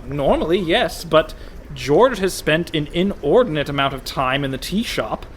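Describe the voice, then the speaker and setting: A man's voice: on the high side, lively intonation, moderate pace, a little hiss or hum, close to an everyday voice. An actor in a comic radio play, playing a sardonic, fretful con man posing as a pirate merchant. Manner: dry, exasperated, worrying.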